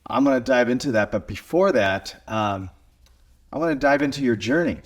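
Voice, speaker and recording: A man's voice: mid-range voice, expressive, moderate pace. A man with an American accent hosting a podcast, speaking casually as he interviews his guest. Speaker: podcast host. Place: small garage video studio, on location.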